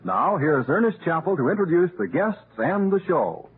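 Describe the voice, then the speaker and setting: A man's voice: fairly high pitch, very animated, moderate pace. A man announcing a programme in 1940s radio style. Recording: noisy narrow vintage radio, organ behind.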